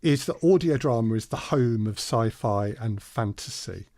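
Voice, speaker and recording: Lively-pitched man hosting an informal interview. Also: normal speed, no room sound. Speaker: workshop host and interviewer. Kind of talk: conversational interview.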